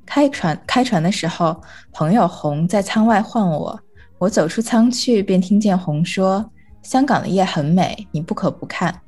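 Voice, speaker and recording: A woman's voice: mid-range voice, expressive, moderate pace, a little mellow, slightly rough, soft background music. A woman reading a short piece of literary prose aloud. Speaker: reader of a short story.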